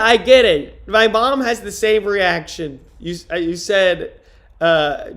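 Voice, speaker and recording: A young man with a fairly high-pitched voice riffs casually on a live stream. He answers viewers' comments one by one, rambling and cursing freely. He comes from upstate New York. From Rochester, New York. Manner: rambling, profane, answering chat comments aloud.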